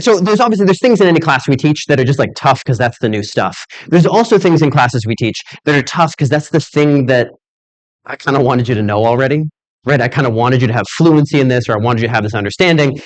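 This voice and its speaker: A man's voice: medium pitch, expressive, fast, no echo. A man giving a conversational keynote to a room of teachers, talking casually with fillers. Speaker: keynote speaker. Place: conference room.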